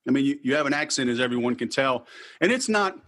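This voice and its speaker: A man's voice: mid-range voice, animated, fast talker, a bit bright. A man with a Texas accent hosting a sales podcast, talking in a casual, salesy, direct way. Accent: Texan. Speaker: podcast host. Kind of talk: podcast interview and self-promotion.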